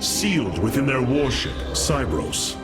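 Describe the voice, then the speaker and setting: A man playing a noble, resolute alien commander in a video game, speaking in formal, measured oratory. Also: mid-range voice, very animated. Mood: determined. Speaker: military leader. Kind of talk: video game cinematic acting. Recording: dramatic music behind the voice.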